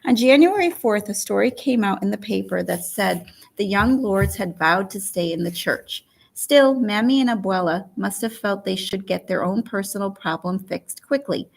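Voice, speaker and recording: A woman narrating a first-person story as an audiobook reader, in an expressive, storytelling way. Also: mid-range voice, gravelly.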